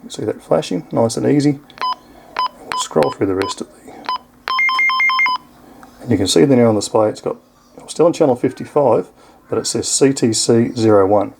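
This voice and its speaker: A man's voice: mid-range voice, expressive, normal speed, gravelly, long pauses, warm tone, a little hiss or hum, poor audio. A man with an Australian accent giving a casual, unscripted product demonstration in an easygoing, explanatory way. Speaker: product reviewer.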